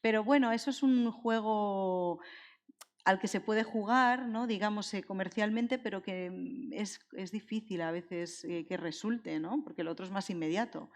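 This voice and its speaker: A woman's voice: mid-range voice, moderate pace. A woman with an accent from Spain speaking informally as an author on a live literary panel, thinking aloud and seeking agreement. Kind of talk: conversational live panel talk. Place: indoor public event hall. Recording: echoey hall, long reverb.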